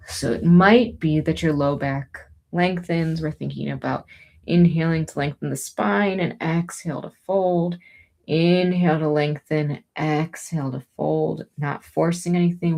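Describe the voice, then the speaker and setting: A woman teaching yoga and breathing, giving gentle, soothing, unhurried encouragement as she guides each movement. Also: low-pitched, vocal fry.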